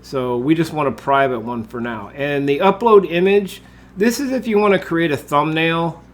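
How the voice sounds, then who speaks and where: A man, congested from a cold, talking through a screen-recorded software tutorial in a relaxed way, with lots of uh and um. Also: on the high side, normal speed. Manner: relaxed, with frequent uh and um fillers. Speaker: tutorial host.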